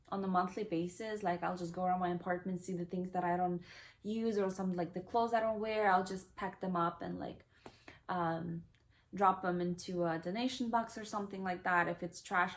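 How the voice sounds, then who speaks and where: A young woman whose native tongues are Kazakh and Russian talks through a casual question-and-answer vlog. She reads each question out, then answers loosely and chattily, pausing on fillers as she thinks. Her voice sits at an ordinary middle pitch. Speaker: vlogger.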